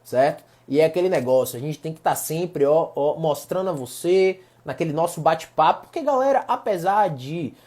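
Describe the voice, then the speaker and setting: Husky Bahian male law lecturer, lively. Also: on the high side, moderate pace, hardly any pauses. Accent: Bahian Portuguese. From Salvador, Bahia, Brazil. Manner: chatty, repeats points, addresses audience as "galera". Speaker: law professor. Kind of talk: casual online lecture.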